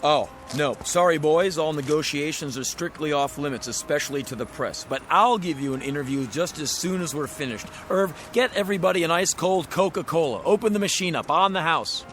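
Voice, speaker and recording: A man's voice: a little high, brisk, hardly any pauses, a bit bright, faint music behind, close to an everyday voice. A man playing a slangy used-car lot owner with a salesman's patter in an audio drama. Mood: eager, showy.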